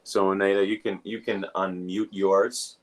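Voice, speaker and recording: A minister hosting an online service, giving announcements and introducing a guest in a halting way, with many filler sounds. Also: male voice, low-pitched, little pitch movement.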